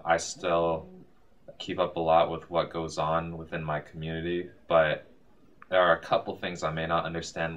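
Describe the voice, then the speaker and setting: A man with a very low voice answers interview questions in a composed, measured way. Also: fairly bright tone.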